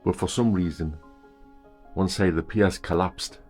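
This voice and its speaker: A man's voice: deep voice, normal speed, a little mellow, faint music behind. An older man chatting casually as a travel vlogger, walking a long pier outdoors and making wry asides. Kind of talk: casual travel vlog narration.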